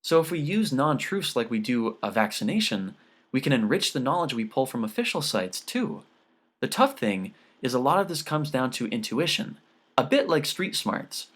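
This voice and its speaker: A man's voice: mid-range voice, very animated, normal speed, a bit bright. A man voicing an earnest teenage daughter who explains things patiently in an audiobook story.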